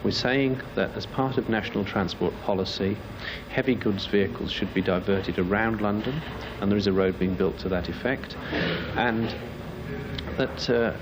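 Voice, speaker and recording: A man delivers a campaign speech in a fairly low voice. Clear urban noise, with traffic, runs behind his words. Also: moderate pace. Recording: clearly noisy, urban background sound.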